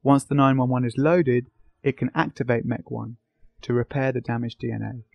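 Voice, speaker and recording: Man, scripted science narrator, mid pitch, noticeable vocal fry, dry close sound. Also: little pitch movement, normal speed, no echo, highs cut off. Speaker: narrator and interviewer.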